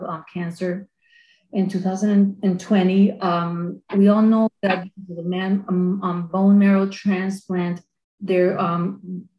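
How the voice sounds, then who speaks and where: A woman's voice: medium pitch, darkish tone. Earnest middle-aged woman, echoey call. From Colombia. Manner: earnest, quick bursts, many fillers. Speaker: activist asking a question. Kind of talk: webinar audience question. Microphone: video call. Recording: roomy echo on video call.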